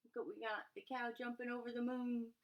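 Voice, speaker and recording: A woman reading a children's storybook aloud expressively, pausing to put questions to her young listeners. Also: fairly high pitch, flat delivery, highs cut off. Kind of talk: animated children's read-aloud with asides to listeners.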